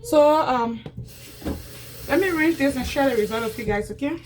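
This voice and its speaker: A woman's voice: sits high, animated, normal speed, noisy background. A woman chatting casually in a home how-to vlog, repeating herself and calling her viewers guys. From Liberia. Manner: chatty and repetitive, often addresses viewers as guys. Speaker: YouTuber demonstrating cleaning. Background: background music.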